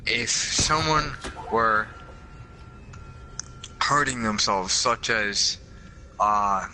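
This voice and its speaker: A teenage boy with a lowish voice chats with friends on a call, sarcastic and joking, stumbling over the starts of his sentences. The recording is noisy, with a steady hiss under his voice. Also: drawn-out words. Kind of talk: casual chat.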